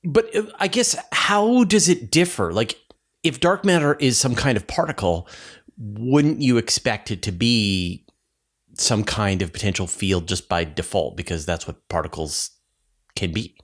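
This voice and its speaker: Man, interview host, fairly deep voice, very lively intonation, inquisitive, thinking aloud, conversational. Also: unhurried. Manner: inquisitive, thinking aloud, rephrasing for lay audience.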